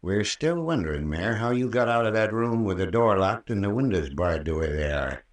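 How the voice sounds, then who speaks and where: Brief: soothing rural American country doctor, low voice, unhurried old-radio storytelling, roomy distant recording. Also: male voice, sing-song. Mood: patient recounting. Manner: folksy, unhurried, patient storytelling. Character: folksy country doctor, soothing, long-winded peacemaker. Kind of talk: old-time radio drama acting.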